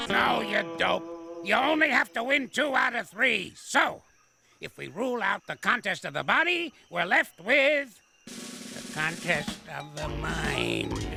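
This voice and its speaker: An actor playing a cranky old male ape in a cartoon, gravelly and crotchety, bossing others around and boasting. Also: high voice, exaggerated voice. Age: older. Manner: insulting, bossy, boastful. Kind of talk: cartoon voice acting. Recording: cartoon soundtrack with music behind.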